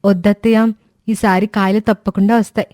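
A woman's voice: mid-range voice, flat delivery, a little fast, warm tone, no room sound, clean studio sound, natural voice. A woman voicing a cheerful but stubborn young village daughter-in-law in a cartoon story, earnest and pleading. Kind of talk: cartoon moral-story voice acting.

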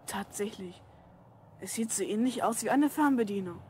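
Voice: mid-range voice, lively intonation, normal speed, warm tone, slight background noise, lightly acted. A performer playing an eager, curious boy of twelve in an audio drama. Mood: curious, hushed. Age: child character, twelve. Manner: eager, questioning. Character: Mark, curious, helpful twelve-year-old boy. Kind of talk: German audio drama acting.

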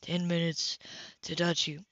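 A woman's voice: on the low side, flat delivery, a little slow, fairly bright tone, no echo. A woman reading the lines of a cocky, flirtatious man in an audiobook, teasing and casual. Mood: teasing, seductive. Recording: dull lo-fi home recording.

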